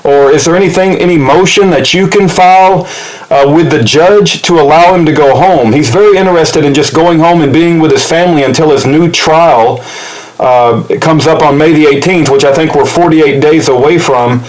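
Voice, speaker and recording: A man with a fairly high voice makes an informal spoken appeal as a supporter of a cause. He is polite and earnest, and he often halts to search for the right word. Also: moderate pace, low-quality recording. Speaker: activist supporter leaving a voicemail and recording it for upload. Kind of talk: informal spoken appeal, phone message and update.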